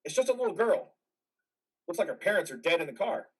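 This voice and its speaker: A man's voice: high voice, very animated, a little fast, slightly rough, far from the mic, exaggerated voice, rough recording. A man in a reader's theater drama playing a troubled, haunted army veteran, earnest and hesitant. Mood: anxious, resisting.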